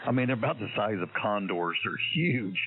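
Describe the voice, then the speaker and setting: A man's voice: lowish voice, lively intonation, fairly quick. A Texan man in easygoing, folksy radio chat, heard through a tinny radio transmission. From Texas, USA. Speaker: radio operator.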